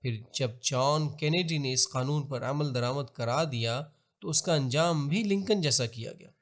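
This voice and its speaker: This is a male documentary narrator with a Pakistani accent and a mid-pitched voice. He reads from a script in a formal, measured way. His delivery is expository, suited to historical narration.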